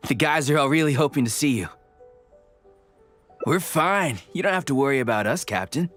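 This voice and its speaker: An actor voicing a good-natured, teasing war veteran in a video game. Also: male voice, a little high, lively intonation, normal speed, a bit bright, faint music behind. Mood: warm, reassuring.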